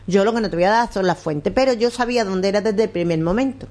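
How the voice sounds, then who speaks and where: A woman hosts a relaxed radio show. She rambles from story to story and teases her guests. Her pitch sits mid-range. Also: quick pace. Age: 60s. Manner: chatty, rambling, teasing. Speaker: radio host. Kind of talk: casual local radio chat.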